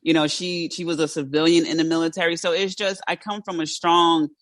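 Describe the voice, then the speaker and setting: A woman's voice: fairly low pitch, steady tone, fairly quick, dry recording. A woman host with a South Philadelphia accent, chatting casually and laughing often, her speech a little thick from dental brackets. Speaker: podcast host. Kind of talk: casual interview chat.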